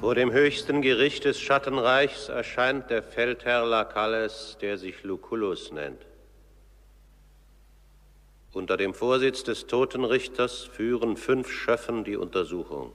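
A man playing the formal announcer of a court of shades, narrating a radio play solemnly and deliberately. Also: mid-range voice, a bit bright, natural voice. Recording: long reverberant hall.

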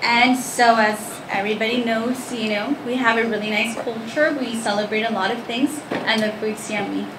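A woman from Mexico sharing about her culture in a relaxed, chatty way, speaking into a handheld mic at a school event. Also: a little high, normal speed, hardly any pauses, background noise. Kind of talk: casual personal sharing. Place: crowded classroom, indoors. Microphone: handheld mic, recorded by a far camera. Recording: roomy classroom from a distance, children chattering.

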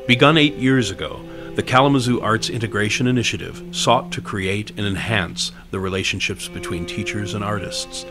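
An American man delivers scripted documentary narration with a somewhat low voice. His delivery is measured and deliberate, and music plays clearly behind him. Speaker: promotional video narrator.

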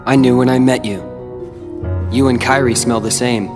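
A man's voice: medium pitch, steady tone, moderate pace, close to an everyday voice. Guy as moody teen hero in a game, mid voice, guarded, reverby with faint music. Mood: resolute, wry. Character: brooding, determined teenage boy hero. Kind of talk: video game cutscene acting.

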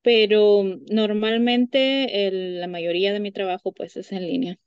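A woman's voice: mid-range voice, flat delivery, measured pace, smooth, dry recording, muffled recording. Chatty woman on a video call. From Honduras. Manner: chatty, many fillers and restarts, laughs often. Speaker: psychologist guest. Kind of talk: casual interview conversation. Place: home room by a window. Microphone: video call webcam.

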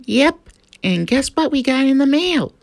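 A plucky little cartoon rabbit girl acted by a woman, pitched high and bouncing around in tone. She is chirpy and eager, exclaiming and pleading as she goes. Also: very animated, measured pace, creaky, full character voice. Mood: cheerful, eager. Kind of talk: amateur cartoon voice acting.

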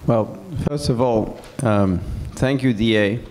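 A middle-aged man from France, speaking as a guest on stage in a large hall, pausing with many fillers. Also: lowish voice, darkish tone, slight background noise. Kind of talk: onstage conversation. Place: large event hall with seated audience. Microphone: handheld mic.

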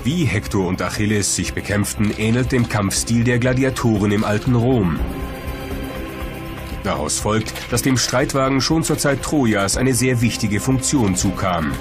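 A man's voice: on the low side, normal speed. A man reading scripted documentary narration as a voice-over. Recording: voice-over over loud background music. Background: prominent music.

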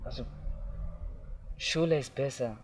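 A young man from Afghanistan, telling his own story outdoors in simple, halting learner's German. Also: mid-range voice, slight background noise. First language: not German. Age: young adult. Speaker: interviewee. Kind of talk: personal testimony. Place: outdoors in tall grass.

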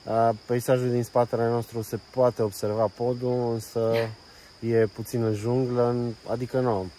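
A man's voice: mid-range voice, flat delivery, warm tone. A man explaining like an informal tour guide in a travel vlog, recorded outdoors. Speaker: travel vlogger. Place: outdoors near a jungle railway bridge. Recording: distant mic, noisy outdoors, insects.

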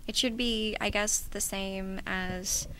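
A woman's voice: medium pitch, fairly even intonation, takes it slowly, fairly bright tone, rough recording. A woman in her twenties giving tentative, casual interview answers into a handheld microphone. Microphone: handheld microphone held out by interviewer. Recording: faint background noise, clicks.

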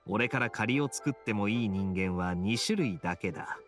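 Suave, sly young knight, very expressive. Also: male voice, lowish voice, faint music behind. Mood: teasing, sly. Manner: smooth, playful, unhurried sly drawl. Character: suave, teasing young male knight with sly gambler's wit. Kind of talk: video game dialogue acting.

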